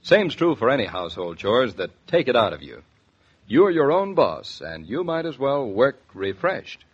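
A man's voice: mid-range voice, sing-song, moderate pace, husky. Muffled 1940s radio announcer man.

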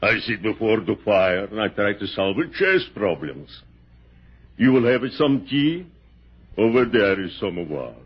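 An actor playing a courteous Russian film director in a radio drama, with a Russian accent and slow, deliberate English. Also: male voice, lowish voice, animated, slightly rough. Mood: courteous, relaxed. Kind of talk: 1960s radio drama acting. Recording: muffled old radio broadcast.

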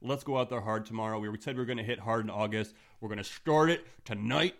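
Deep-voiced chatty Canadian man. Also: quick pace. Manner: relaxed and chatty, trailing off quietly at phrase ends. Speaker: podcast co-host. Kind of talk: casual chat.

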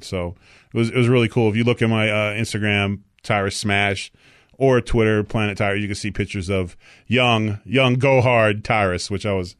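A man co-hosting a talk show, chatting casually, joking and rambling, with sudden loud excited outbursts. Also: lowish voice, no room sound, clean studio sound. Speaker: co-host.

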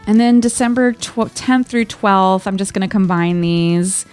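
A woman co-hosting a podcast, chatting casually and reading aloud from a diary in an expressive, playful way. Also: mid-range voice, unhurried, soft background music. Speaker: podcast co-host.

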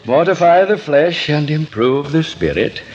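A man's voice: fairly high pitch, lively intonation, measured pace, darkish tone. An actor playing a genial, pious older preacher in 1940s radio comedy, courteous and genteel in his speech. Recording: muffled, noisy 1940s radio broadcast.

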